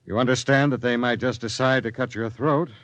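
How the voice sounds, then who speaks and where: An actor playing a steady expedition captain who narrates in a measured, authoritative 1950s radio drama style. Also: male voice, mid-range voice, no echo, natural voice. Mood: firm, cautious. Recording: dull old radio broadcast.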